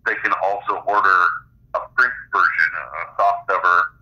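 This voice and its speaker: A low-pitched male interview guest with a very bright, forceful edge to his voice. He explains things in a conversational way, pausing between phrases, and sounds band-limited, like someone on a phone or radio line. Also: fairly even intonation, highs cut off.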